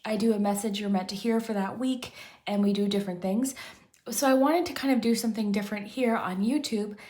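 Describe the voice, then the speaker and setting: A woman hosting a pick-a-card tarot reading, chatty and rambling, and a little hoarse from losing her voice. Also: mid-range voice, fairly even intonation, fairly quick, darkish tone. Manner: chatty, rambling, frequent "kind of" and restarts. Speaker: tarot reader, channel host. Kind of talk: casual pick-a-card tarot chat to camera.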